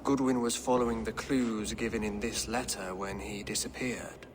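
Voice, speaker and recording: An actor playing a stern, guarded medieval king in a video game, speaking formally and with deliberate command. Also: fairly low pitch, steady tone, noisy background, natural voice. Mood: reluctant, measured. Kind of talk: dramatic video game acting.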